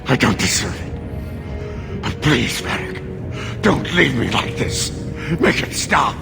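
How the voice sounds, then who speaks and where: An actor playing a maddened dwarf brother in a video game, pleading haltingly and breathily. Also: male voice, medium pitch, sing-song, vocal fry, full character voice. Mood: desperate, pleading. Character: broken, pleading older dwarf brother gone mad. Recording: game music behind the voice.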